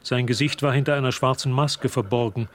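A man narrating a suspenseful radio drama in a measured, literary manner. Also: medium pitch, steady tone, natural voice. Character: composed male storyteller narrating a suspense tale.

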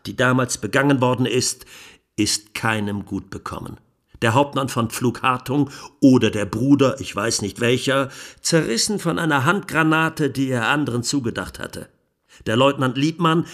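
A man's voice: medium pitch, vocal fry, a bit bright. A man playing a grave, indignant 1920s German defence lawyer, pleading with solemn, emphatic rhetoric in a radio documentary. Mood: grave, bitter. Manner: solemn, rhetorical, emphatic oratory with deliberate pauses. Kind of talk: dramatized courtroom closing speech, radio documentary.

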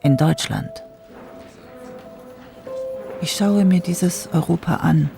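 Pensive, creaky-voiced ghost of history. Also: female voice, lowish voice, over music. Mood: melancholy, pensive. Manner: measured, pensive. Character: reflective, disillusioned ghost of a 1948 parliamentarian looking back. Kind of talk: literary radio drama monologue.

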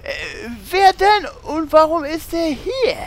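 A woman playing a confused adventurer in a comedic fantasy audio drama, halting and stammering over her words. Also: very high voice. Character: dim, confused female adventurer stumbling over names.

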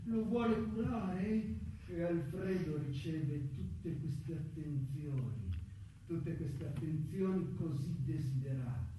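Radio play: unsettlingly watchful, insinuating employee, high-pitched, halting, repetitive, in a very reverberant space. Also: male voice, mellow. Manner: halting, repetitive, insistent, trailing into questions. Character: insinuating middle-aged male employee, ex human resources, unsettlingly observant. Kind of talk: Italian radio play acting. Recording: very echoey, distant, faint background noise.

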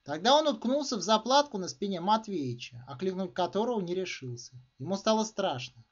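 Guy w high voice reading his short story dramatically, super lively intonation, kinda muffled lofi audio. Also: fairly quick. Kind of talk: expressive literary narration. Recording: dull, muffled lo-fi sound.

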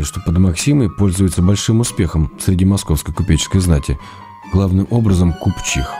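Male actor doing low voice, middle-aged writer husband, tender n wry, radio drama letters over music. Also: warm tone, natural voice. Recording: music playing behind the voice.

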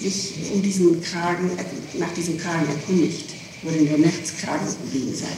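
Halting woman on echoey old tape. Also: lowish voice, fairly even intonation. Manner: halting, repeats words while explaining. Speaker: person being questioned by police. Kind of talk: interrogation testimony. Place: reverberant room, taped police interrogation. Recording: echoey, noisy old tape, distant mic.

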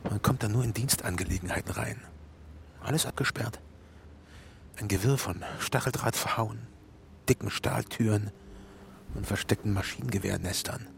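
An actor playing a weary, bitter party member in a radio drama, muttering halting, sardonic confessions to himself with a gravelly voice. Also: male voice, fairly low pitch, slight background noise. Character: weary, bitter male party member confiding forbidden thoughts. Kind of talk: intimate German radio drama monologue.

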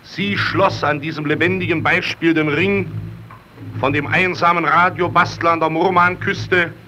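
An actor playing an earnest, solemn narrator who proclaims a heroic tale in emphatic old German radio-play style. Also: male voice, fairly high pitch, steady tone, normal speed, over music, natural voice. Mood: solemn, fervent. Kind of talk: 1920s-30s German radio play, declamatory. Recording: muffled, noisy old radio recording.

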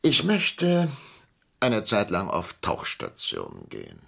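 An actor playing a sly, hesitant Greek businessman in a vintage German crime radio play, speaking in a halting, theatrical way. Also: low-pitched, huge pitch swings, gravelly, fairly bright tone, husky, heavily acted. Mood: hesitant, scheming.

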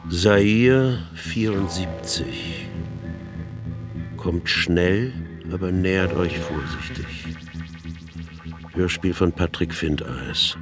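A man with a low voice reads formal radio drama credits. His delivery is extremely slow, with long spaces, over clearly audible guitar music. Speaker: announcer reading the cast credits. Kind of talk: formal scripted radio announcement.

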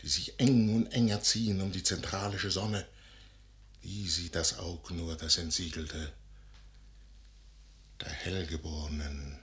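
An actor playing a proud, secretive commander who trusts the stars, declaiming classical verse grandly and deliberately in a 1955 radio drama. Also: male voice, low-pitched, steady tone, a bit bright. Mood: lofty, mystical. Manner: grand declamatory delivery, deliberate emphatic phrasing. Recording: 1955 radio studio recording.

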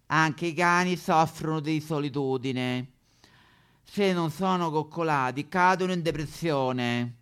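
A man's voice: fairly high pitch, measured pace, fairly bright tone, clean tone. A man voicing a bitter, fearful mongrel dog in a satirical stage monologue, grumbling in colloquial Roman-accented Italian. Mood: bitter, resigned. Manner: grumbling, colloquial, exaggerated complaining.

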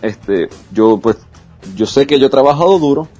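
A man's voice: medium pitch, expressive, moderate pace, mellow, breathy edge, faint music behind. A man, an esports caster speaking frankly and confidently as a guest in a casual interview. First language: Spanish. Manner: frank, self-assured, with frequent restarts.

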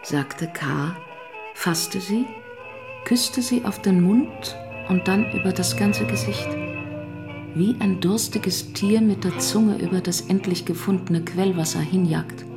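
Eine Frau erzählt als Sprecherin eines literarischen Hörspiels, gemessen und bedacht. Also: female voice, medium pitch, slow pace, over music. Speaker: narrator. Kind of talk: literary narration in a radio play.

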